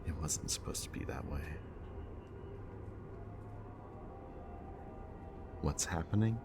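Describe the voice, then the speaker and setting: A man acting a brooding villain kidnapper in an audio roleplay, mockingly polite and weary, speaking in a deliberate, theatrical way. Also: extremely low pitch, animated, creaky, loud music behind the voice, heavily acted. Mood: tense, weary.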